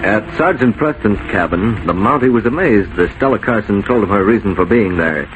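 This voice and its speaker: An American man narrating and announcing a 1940s radio drama in a measured, formal manner. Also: lowish voice, lively intonation. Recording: noisy vintage 1940s radio recording.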